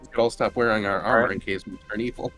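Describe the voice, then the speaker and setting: A man's voice: fairly low pitch, steady tone, quick pace, fairly bright tone, soft background music. A man chatting casually and jokingly as a player in a tabletop roleplaying game.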